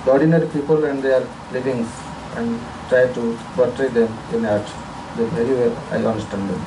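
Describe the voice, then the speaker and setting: A man's voice: mid-range voice, steady tone, moderate pace, recorded from a distance, noisy background. A man from India giving a formal, earnest self-introduction at a conference, speaking from a prepared statement in an auditorium.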